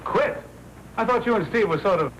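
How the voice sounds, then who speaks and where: An American actor playing a sardonic, slangy, wisecracking police reporter in a 1940s crime film. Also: male voice, high voice, animated, moderate pace, fairly bright tone. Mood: mocking surprise. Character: wisecracking, teasing male big-city newspaper police reporter. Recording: noisy old 1940s film soundtrack.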